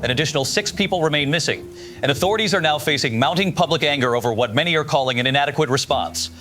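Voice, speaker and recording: A man playing a composed evening news anchor, delivering a formal scripted bulletin in a measured newsreader manner. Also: a little high, pushed and bright. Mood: composed, serious.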